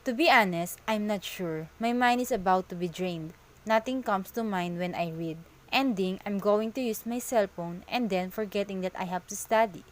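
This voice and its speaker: A weary young female student in a homemade cartoon, acted by a woman. She sounds self-doubting and speaks in a plain, slightly stiff, scripted way at a middle pitch. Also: moderate pace, close to an everyday voice. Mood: drained, downcast. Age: young adult. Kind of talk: amateur animated cartoon dialogue.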